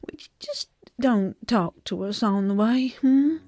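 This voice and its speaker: A dramatic Southern mother, acted by a woman, fairly high in pitch and slow of speech. She is halting and theatrical, an exasperated belle in a comic audio play. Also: animated, a little mellow. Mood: indignant. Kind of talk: comedic audio drama acting.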